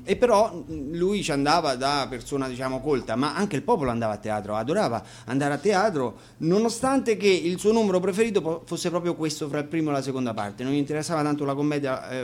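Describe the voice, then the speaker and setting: Higher-voiced man introducing songs. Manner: hesitant, digressive, explanatory storyteller. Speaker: musician and band frontman introducing songs. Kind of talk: informal spoken introductions between songs at an acoustic radio concert. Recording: live radio concert with instruments.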